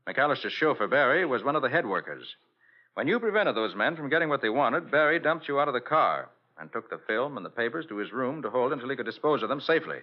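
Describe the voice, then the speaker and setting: An actor playing a confident, genial master detective with an American accent in a 1940s radio drama, on an old broadcast recording. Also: male voice, mid-range voice, sing-song, fast talker, dull audio. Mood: explaining, assured.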